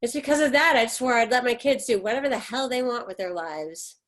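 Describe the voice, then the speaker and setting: Casting: actress as middle-aged mother, fairly high voice, bitter and defensive, reproachful retorts in a dramatic play reading. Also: fairly quick, fairly bright tone, close to an everyday voice. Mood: bitter, insistent. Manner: emphatic, reproachful, clipped retorts.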